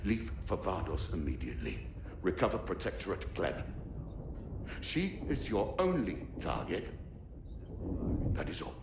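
Ruthless older male admiral for a game, deep gravelly voice, commanding and deliberate. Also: huge pitch swings, echoey room, heavy background noise, exaggerated voice. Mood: stern, commanding. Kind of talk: video game cutscene acting.

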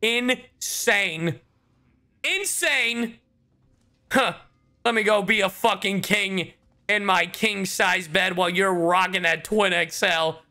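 A young man streaming a game with casual, cheeky commentary. His bright voice sits a little high and moves around a lot, and he swears playfully. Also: slow.

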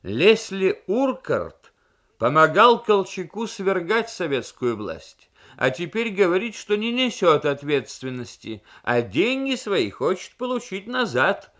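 A man voicing a forceful, sarcastic Soviet diplomat pressing his argument in a dramatized radio novel reading. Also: high voice, very animated, slow pace, voice pushed up, full character voice. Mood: indignant, insistent.

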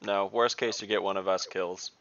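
A man roleplays in an online tabletop game with a very deep, gravelly voice. He speaks slowly and deadpan, with a bright edge. The sound is dry and lo-fi. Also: no echo. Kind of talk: in-character roleplay. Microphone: video call. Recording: dry lo-fi video call audio.

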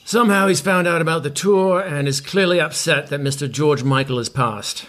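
A man's voice: fairly high pitch, normal speed, fairly bright tone, lightly acted. A man acting as a vain, hungover, washed-up rock star in an audio comedy, pompous, petulant and sarcastic. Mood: bitter scorn.

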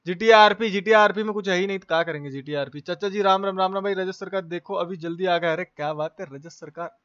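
A man's voice: high-pitched, fast, a bit bright, clear, resonant tone, dull audio. A man hosting a live game stream, chatting casually and slangily as he greets viewers by name.

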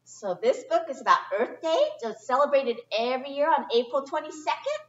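A middle-aged woman doing a chatty, expressive children's read-aloud with informal asides, speaking in simple phrases for young listeners. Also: high-pitched, brisk. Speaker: storyteller reading a picture book to children. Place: indoor library children's area. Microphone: distant camera microphone. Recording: echoey room, distant muffled camera sound.

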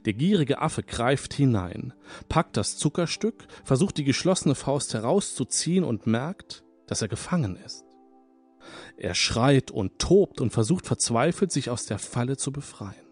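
A man leading a guided hypnosis session, speaking in a calm, soothing, repetitive manner. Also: medium pitch, husky. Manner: slow, soothing, hypnotic, repeats phrases. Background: soft background music.

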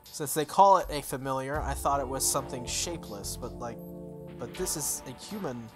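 Clear music plays under a young adult game streamer with a mid-pitched voice. They read visual novel text aloud expressively and slip into casual, playful commentary. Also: normal speed. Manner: expressive reading with playful asides. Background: background music.

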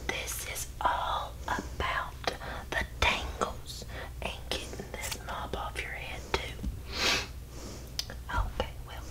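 A man's voice: slow pace, far from the mic, rough recording. A man whispering soothing, playful patter with repeated words, as a hairdresser in an ASMR roleplay. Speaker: ASMR creator playing a hairdresser. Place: carpeted room indoors.

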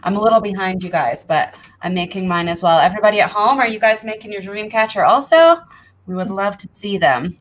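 Woman host, upbeat, encouraging, mid-pitched voice, faint hiss. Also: a little fast. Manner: upbeat, encouraging, addresses viewers at home. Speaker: show host. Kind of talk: live online show hosting.

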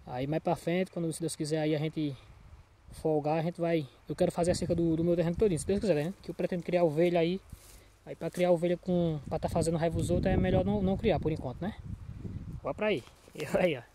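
A Brazilian man with a Northeastern accent, a little hoarse from a cold, chatting casually for a farm vlog in a folksy, repetitive way. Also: a little high, fairly even intonation, quick pace, warm tone. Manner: folksy, repeats himself, frequent check-in tags. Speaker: small farmer vlogging from his field. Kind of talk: casual handheld vlog chat. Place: outdoors in an open cornfield. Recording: noisy outdoor handheld recording.

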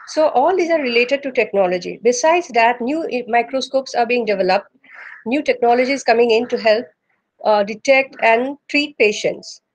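A woman with an Indian English accent speaks over a webcam video call, her pitch sitting a little high. She answers steadily and pauses often on "uh" as she gathers her thoughts. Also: low-quality recording. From Northeast India. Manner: measured, with frequent "uh" pauses. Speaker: embryologist guest.

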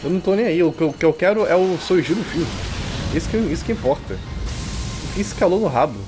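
A Brazilian man with a very animated delivery reads game text aloud and comments on it. His manner is irreverent and slangy, like a casual streamer, with music playing behind him. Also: sits high, fast. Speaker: streamer playing and dubbing a visual novel.

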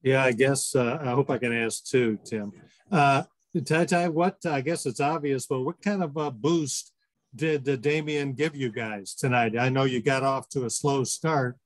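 A man's voice: medium pitch, measured pace, no room sound. An older male reporter asking polite, hesitant questions on a video call. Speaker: sports reporter. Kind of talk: press conference question.

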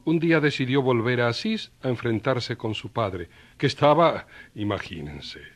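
A man narrating a scripted story in a colloquial, storytelling style. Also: medium pitch.

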